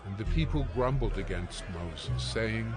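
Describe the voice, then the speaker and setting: A man's voice: fairly low pitch, lively intonation, measured pace. A man giving scripted narration of scripture as the narrator of an audio production. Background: music.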